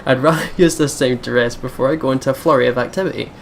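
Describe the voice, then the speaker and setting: A man's voice: medium pitch, quick pace. Man doing game commentary over noticeable noise. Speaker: let's play host. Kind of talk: game commentary, reading story text aloud. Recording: clearly noisy background.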